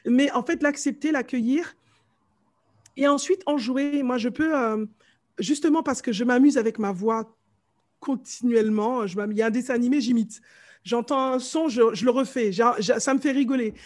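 A middle-aged woman from Martinique with a light Martinican accent, a vocal coach telling stories in a casual interview, animated and fond of mimicking voices. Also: fairly high pitch, normal speed. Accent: light Martinican French.

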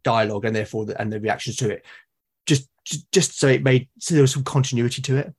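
Man, thoughtful and halting. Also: mid-range voice, a little mellow, slightly rough. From Britain. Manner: halting, repeats words as he thinks aloud. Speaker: podcast guest, solo roleplaying podcast creator. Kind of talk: conversational interview, explaining own creative process.

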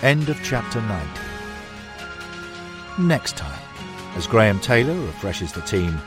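A man's voice: lowish voice, very animated, unhurried, over music. A man reading a sports history book aloud as a scripted narration. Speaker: audiobook narrator.

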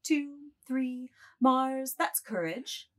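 A woman's voice: high-pitched, very slow. A woman playing a saucy young prostitute who reads palms, teasing and pert, in a dramatised reading. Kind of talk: dramatised novel table reading.

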